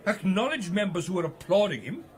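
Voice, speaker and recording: A hearty English gentleman of the old club sort. His voice sits high for a man and swoops freely as he bosses and boasts in period radio-play style. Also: sing-song, fairly quick. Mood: gossipy, indignant. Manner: jovial, bossy, clubbish old-fashioned turns of phrase. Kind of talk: period radio drama acting.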